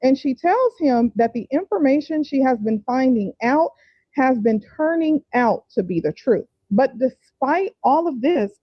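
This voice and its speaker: A woman's voice: high voice, dry recording, highs cut off. A woman narrating true-crime commentary from a script.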